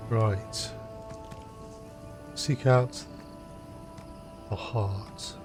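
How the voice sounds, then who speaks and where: A man's voice: fairly low pitch, steady tone, very slow. A man with a British accent giving casual live gameplay commentary, thinking aloud in short remarks. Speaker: game streamer. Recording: game music behind the voice.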